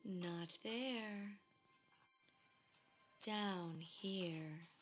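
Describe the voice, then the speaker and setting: A woman voicing the Dark in a storybook, a calm, mysterious darkness that coaxes with drawn-out words. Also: mid-range voice, faint music behind. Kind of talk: storybook read-aloud.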